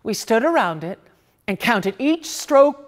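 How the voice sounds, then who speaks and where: A woman reading a literary story aloud theatrically and dramatically, with deliberate hesitations and repeated words. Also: on the high side, big pitch swings. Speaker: reader of short stories.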